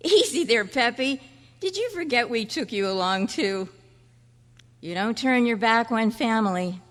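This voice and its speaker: A woman's voice: on the high side, animated, measured pace. A woman playing an earnest, chatty fourth-grade boy in an old-time radio style performance. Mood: wistful. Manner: chatty, boyish slang. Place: large indoor room at a convention. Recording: echoey hall at a club meeting.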